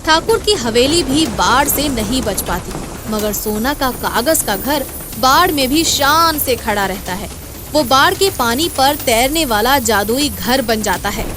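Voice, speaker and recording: A woman's voice: high-pitched, lively intonation, measured pace, background noise. A woman telling a dramatic scripted tale as its narrator. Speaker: storyteller. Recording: clear background music under voice.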